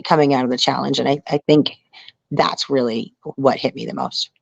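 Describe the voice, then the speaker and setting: A woman's voice: rumbling low, steady tone, moderate pace, vocal fry, breathy edge, no echo. A middle-aged American woman gives a casual, earnest interview. She rambles conversationally and leans on "you know" as she goes. The sound is close and dry, a little muffled on top. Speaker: interview guest, outdoor survival show contestant and angler.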